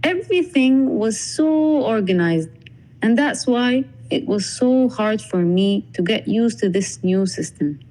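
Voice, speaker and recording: Young woman, from the UAE, podcast guest, dark mellow voice, prepared reading, earnest. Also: medium pitch, animated, a little slow, rough recording. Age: young adult.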